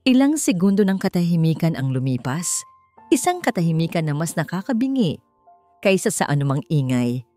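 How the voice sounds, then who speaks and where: Female audiobook narrator: lowish, soft dark tone, measured suspense, faint music bed. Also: big pitch swings. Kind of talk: scripted dramatic story narration.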